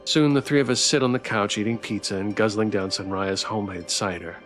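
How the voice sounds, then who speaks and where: A man's voice: fairly low pitch, fairly even intonation, a little fast, a bit bright. Male game streamer reading story narration aloud, music underneath. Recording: background music.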